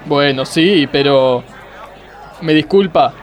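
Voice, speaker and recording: An actor playing a self-pitying, pompous judge with an Argentine accent in a comic radio play. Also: male voice, on the high side, slow pace, some chatter behind. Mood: sheepish, grumbling. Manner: whiny, pompous, speechifying. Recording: faint vehicle and street noise behind.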